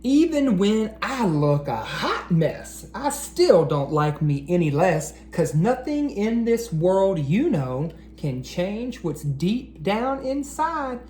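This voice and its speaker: A man reading aloud to children like an educator, with an expressive, encouraging manner that speaks straight to them. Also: sits high, slow, hardly any pauses. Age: adult. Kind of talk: animated children's read-aloud. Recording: roomy echo, faint background noise.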